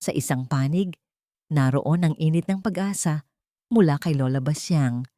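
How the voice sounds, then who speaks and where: A woman narrates a scripted love drama audiobook. Her pitch is low for a woman, and her delivery is dramatic storytelling. The recording is dry, with no room sound. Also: darkish tone, no echo.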